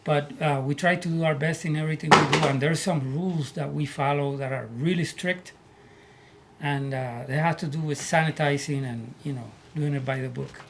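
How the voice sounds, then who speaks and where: A man from Puerto Rico with a Puerto Rican Spanish accent, telling his life story as an interview guest in earnest, halting English. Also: fairly high pitch, steady tone, creaky, noisy background. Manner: earnest, repeats words as he searches for English. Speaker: guest, army veteran.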